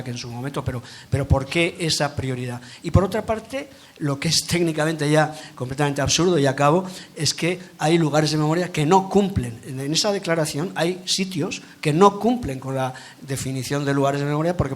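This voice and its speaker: Man making a critical point at a panel. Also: on the high side. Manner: critical, precise, building an argument. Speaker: panel participant. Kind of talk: conference panel comment.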